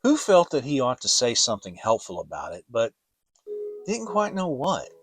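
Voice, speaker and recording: A man narrating a children's story as a dramatic audiobook, deliberate and expressive. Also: mid-range voice, music in the background.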